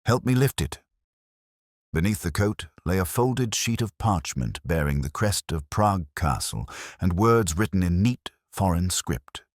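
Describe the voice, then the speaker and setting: A man narrates a scripted mystery in a very deep voice. His delivery is dramatic and measured, with wide swings of intonation and a dry, close sound. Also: expressive, no echo. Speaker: audiobook narrator.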